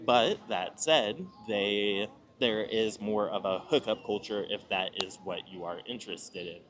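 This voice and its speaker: Low-voiced American man, explanatory vlog talk. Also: a little slow, fairly bright tone. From Nebraska, United States. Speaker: video host giving travel advice. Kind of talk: informal monologue to camera.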